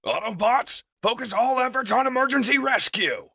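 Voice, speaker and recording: Noble robot leader, played by a man, booming, dramatic, anguished, forceful bright tone, raised pitch. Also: animated, normal speed, breathy edge, heavily acted. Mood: commanding.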